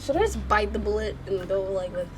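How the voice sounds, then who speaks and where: A woman's voice: mid-range voice, a little fast, background noise. A woman giving casual gameplay reaction commentary as a let's play host. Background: background music.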